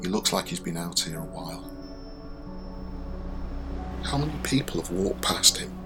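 A deep-voiced young man confides his story in a radio drama monologue. He is wry and anxious, with music running clearly under his voice. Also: big pitch swings, normal speed. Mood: anxious, grave. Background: music behind the voice.

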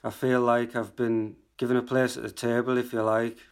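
Flat-voiced man, calm and measured. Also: medium pitch, clear, resonant tone. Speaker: writer. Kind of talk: interview.

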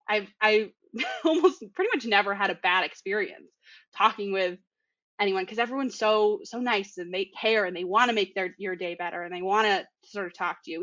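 A woman's voice: mid-range voice, bright, forceful tone, dry recording. A young woman from the Boston area with a Boston accent, chatting casually and laughing often. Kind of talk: casual podcast chat.

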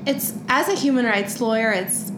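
A woman's voice: a little high, normal speed. Young woman, podcast guest, explains steadily and thoughtfully; roomy, noisy recording. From Egypt, raised in the US. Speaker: podcast guest, human rights lawyer. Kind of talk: casual conversation. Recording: echoey room with background noise.

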